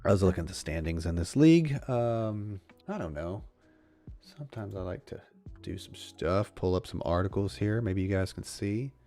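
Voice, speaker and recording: A man's voice: sits low, darkish tone. A man hosting a casual fantasy football livestream, thinking aloud with frequent restarts. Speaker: fantasy football show host.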